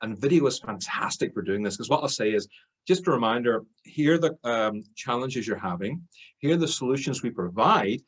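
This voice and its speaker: Husky Irish man giving sales tips. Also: mid-range voice, animated, fairly quick. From Ireland. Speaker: sales expert panelist. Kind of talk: webinar talk.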